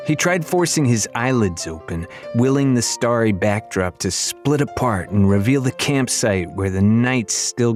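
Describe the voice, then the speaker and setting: A man reading a children's fantasy novel as an audiobook narrator, in expressive, dramatic storytelling style. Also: lowish voice.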